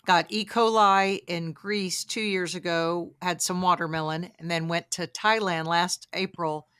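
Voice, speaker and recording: Animated middle-aged woman host. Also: fairly low pitch, unhurried, smooth, no room sound, studio quality. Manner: animated storyteller, frequent "right" affirmations. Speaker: podcast host. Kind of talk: casual interview chat.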